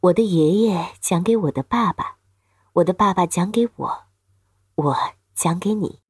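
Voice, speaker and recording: A woman's voice: lowish voice, a little slow, darkish tone, husky, no room sound, clean studio sound. A woman hosting an audio drama and telling its story as scripted narration. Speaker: radio drama host and storyteller.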